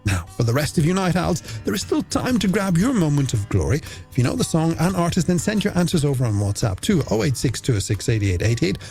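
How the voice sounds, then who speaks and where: A man's voice: fairly high pitch, big pitch swings, fast talker, vocal fry, hardly any pauses, a little mellow. A man presenting a late-night music radio show, chatting playfully and directly to listeners. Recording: radio broadcast over music bed.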